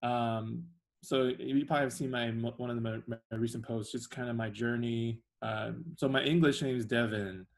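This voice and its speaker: A young man from China, a podcast guest telling his story in a soft-spoken, rambling way. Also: mid-range voice, steady tone, normal speed, creaky, hardly any pauses. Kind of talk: casual interview.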